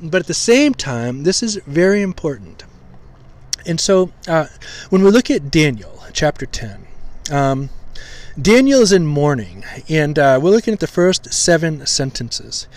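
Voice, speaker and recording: A man hosting a podcast, teaching scripture in an informal way with a slightly hoarse, weak voice, full of pauses, ums and restarts while he tries to enunciate carefully. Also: on the high side, big pitch swings, darkish tone. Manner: halting, many ums and restarts, deliberate enunciation.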